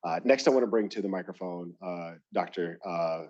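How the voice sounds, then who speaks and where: A man's voice: deep voice, moderate pace, darkish tone, muffled recording. A man hosting an event and introducing speakers, hesitant with many fillers. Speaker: event host.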